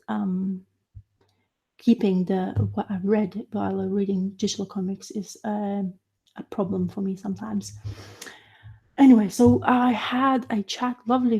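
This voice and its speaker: A young adult woman whose first language is Lithuanian, chatting casually on a livestream, halting and full of fillers and restarts. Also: mid-range voice, mellow. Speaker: livestream host. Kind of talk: casual live chat about comics.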